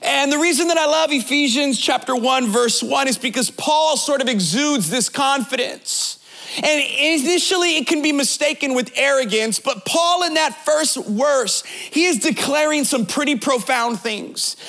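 A pastor in his late thirties preaches with a very high, bright, ringing voice. He jokes with the congregation and talks to them directly, then builds his points through repetition and sing-song emphasis. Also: normal speed, vocal fry, poor audio. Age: 30s. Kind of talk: sermon.

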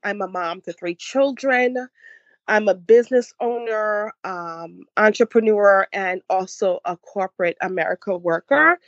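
A woman in her forties with a Haitian accent talks as a podcast guest, thoughtful and circling back as she finds her words. Her voice sits at a middle pitch. The sound is dry and a little dull. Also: a little slow, no room sound. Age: 40s. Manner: reflective, with many restarts and laughs. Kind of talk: casual interview chat.

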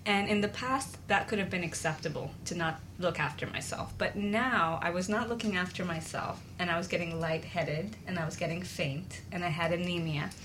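A woman's voice: fairly low pitch, moderate pace, hardly any pauses, fairly bright tone, slight background noise. A woman co-hosting a talk show, sharing personal experience in an earnest, confiding, conversational way.

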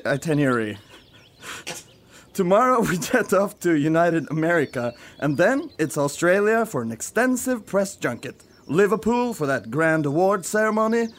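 A man playing a brisk, self-important band leader in an amateur radio comedy play. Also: on the high side, slight background noise.

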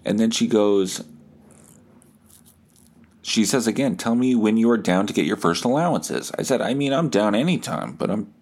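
A man with a fairly low voice hosts a casual comedy podcast. He tells his story in a self-deprecating, sweary way, acting out both sides of text conversations as he goes. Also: darkish tone, a little hiss or hum. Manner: foul-mouthed, self-deprecating. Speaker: podcast host.

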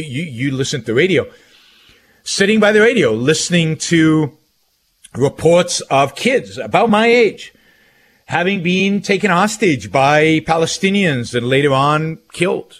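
Israeli-born man hosting a talk radio monologue. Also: medium pitch. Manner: halting, frequent restarts and repeated phrases; reflective, emphatic repetition. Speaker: radio show host.

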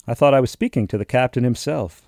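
A man's voice: medium pitch, fast talker, gravelly, darkish tone, no echo, clean studio sound, natural voice. A man voicing a polite young sailor who gives deferential answers. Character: polite young male sailor seeking work.

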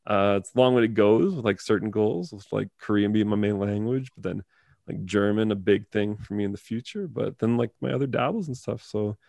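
A man in his early thirties hosts a relaxed podcast chat, talking in loose, rambling runs full of "like" and "uh". His voice sits low with a gravelly fry. The sound is dry and close, with no room around it. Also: a little fast, dry recording. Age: 30s. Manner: rambling, filler-heavy, laughs easily. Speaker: podcast host.

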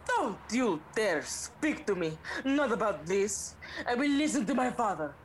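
A woman's voice: mid-range voice, big pitch swings, unhurried, slight background noise. A woman playing a melodramatic young heroine. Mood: dramatic. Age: young adult. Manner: melodramatic, dotted with Spanish words. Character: young woman torn between duty and an old love. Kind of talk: student comedy radio play acting.

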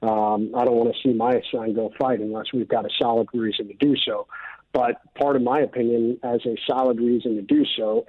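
A man's voice: medium pitch, husky, no echo. American male, middle-aged veteran type, earnest and emphatic interview guest, dry lo-fi radio sound. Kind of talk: earnest opinion talk.

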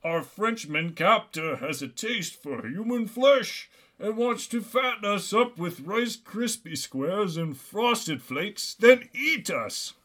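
An immortal Santa held prisoner, as a male storyteller puts him on, speaking in a comic tale. He is urgent and grimly resigned, with a fairly high voice and slow delivery. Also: lively intonation, fairly bright tone, exaggerated voice. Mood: grim warning.

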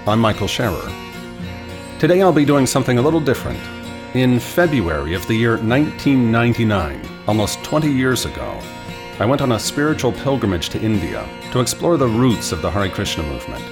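A man's voice: mid-range voice, big pitch swings, brisk, music in the background. A man with an American accent hosting a podcast and interviewing. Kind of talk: scripted podcast introduction and interviewing.

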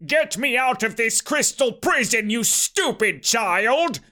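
A man doing a furious, high-pitched bullying uncle. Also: very animated, drawn-out words, heavily acted. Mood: angry. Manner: shouting, threatening, exasperated. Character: angry, bullying uncle trapped in a crystal prison.

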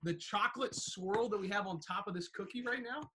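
A young man chats casually on a sports podcast. His voice sits a bit high. He rambles and circles back with lots of fillers and restarts. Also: a little fast, sharp tone, slight background noise. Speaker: baseball broadcaster, co-host.

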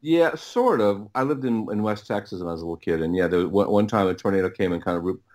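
An American man with a fairly low voice chats as a podcast guest. He is casual and a bit halting. Words often get repeated as he works toward what he means. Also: darkish tone. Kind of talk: casual chat.

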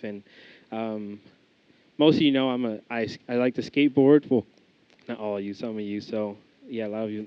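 A man's voice: fairly low pitch, muffled recording. A young American man giving an informal sermon, reading scripture, praying and telling his own story in a casual, rambling way with many fillers and restarts. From United States. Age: young adult. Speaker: student preacher. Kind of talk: informal sermon with prayer and testimony.

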